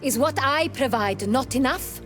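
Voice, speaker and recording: An actress playing an imperious, exacting ruler of noble birth in a video game, speaking in a commanding, haughty and formal manner. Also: female voice, sits high, animated, unhurried, fairly bright tone, soft background music. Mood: cold, threatening.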